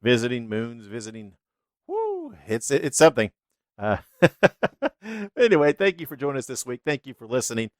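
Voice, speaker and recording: A man's voice: mid-range voice, huge pitch swings, normal speed, no echo, clean studio sound. A man hosting a wrestling podcast, chatty and laughing, sounding stuffy and congested. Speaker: podcast host. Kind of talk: casual podcast outro.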